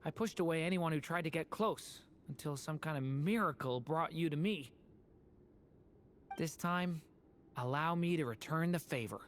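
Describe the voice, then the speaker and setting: A man voicing an earnest, gentle youth in a video game, sincere and reassuring. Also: a little high, normal speed, a little hiss or hum, lightly acted. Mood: earnest, warm. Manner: earnest, soft-hearted, reassuring. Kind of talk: dramatic video game voice acting.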